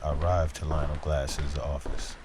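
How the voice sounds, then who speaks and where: Very deep-voiced brooding noir detective played by a man. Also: flat delivery, normal speed, very noisy. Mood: brooding, measured. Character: brooding hardboiled male police detective. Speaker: detective narrator. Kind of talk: noir audio drama narration. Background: music.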